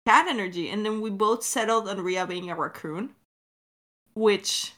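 A woman's voice: medium pitch, unhurried, a bit bright. A woman co-hosting a creator Q&A, chatting casually in a giggly way and swearing freely. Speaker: podcast creator and co-host.

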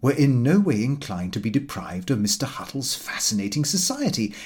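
A man reading a comic first-person diary as an audiobook, in a measured, fussy, expressive storytelling manner. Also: medium pitch, slight background noise. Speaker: audiobook reader.

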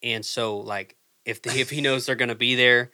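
A man's voice: medium pitch, steady tone, moderate pace, pushed and bright. A man in his twenties chatting casually on a podcast, swearing freely and restarting his sentences. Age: 20s. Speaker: guest musician.

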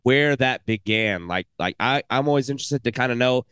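An eager man hosting a relaxed podcast chat, his mid-pitched voice recorded close and dry with no room sound. He talks with enthusiasm, rambling and restarting his sentences as he goes. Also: a little fast, clear, resonant tone, no room sound. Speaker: podcast host. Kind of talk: casual podcast interview.

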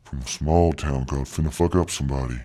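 Man doing a very deep, mellow swaggering dude. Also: fairly even intonation, fast. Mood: cool, boastful. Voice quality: thick, deep voice. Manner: drawling slangy swagger, punctuated with "dog". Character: laid-back swaggering deep-voiced voice-over guy, slangy and boastful. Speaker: podcast guest and voice-over artist. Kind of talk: comic in-character interview.

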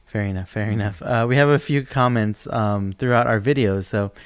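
A man's voice: fairly low pitch, moderate pace, smooth, no echo, clean studio sound. A man co-hosting a podcast who reads out listener mail in a chatty, joking way. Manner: chatty and jokey, with frequent fillers and laughs. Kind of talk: casual banter.

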